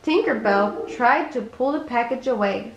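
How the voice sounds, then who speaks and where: A woman's voice: fairly high pitch, moderate pace. A woman reading a children's bedtime story aloud with expression. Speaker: storybook reader. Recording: echoey room.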